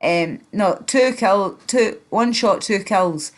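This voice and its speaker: A woman with a Glaswegian accent talks in a loose, rambling way on a livestream. She is blunt and swears freely, and her pitch sits in an ordinary middle range. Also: slow. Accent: Glaswegian Scottish. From Scotland. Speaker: livestream host. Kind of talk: casual livestream chat.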